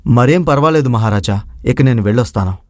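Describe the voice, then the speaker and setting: A brave, quick-witted young storybook prince, voiced by a man, speaks with formal confidence. The voice is mid-pitched and recorded very dry. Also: animated, no echo, natural voice. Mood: respectful. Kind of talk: Telugu moral story voice acting.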